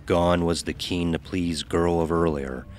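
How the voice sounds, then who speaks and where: A man with an exceptionally deep voice narrates a horror story. His delivery is scripted and measured, with an ominous, dramatic weight to it. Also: steady tone, darkish tone.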